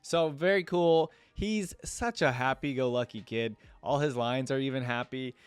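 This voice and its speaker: A man's voice: medium pitch, moderate pace, clean tone, dry recording, soft background music. A man giving casual, rambling reaction commentary as a video host, often checking in with the listener. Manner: rambling, checks in with "right?" and "you know".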